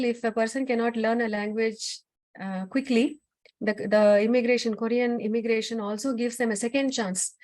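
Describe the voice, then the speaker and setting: A woman's voice: a little high, darkish tone, no room sound. Woman, South Indian accent, Tamil native, chatty presenter, dry close sound. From Tamil Nadu, India. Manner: chatty, many fillers and restarts. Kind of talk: explaining at an online info session.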